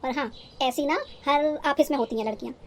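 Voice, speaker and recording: A woman's voice: high voice, fast talker, a little hiss or hum. A woman playing a fussy older village mother-in-law in a cartoon, with a rural North Indian accent and a folksy, repetitive way of talking. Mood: explaining. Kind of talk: cartoon story dialogue in rustic dialect.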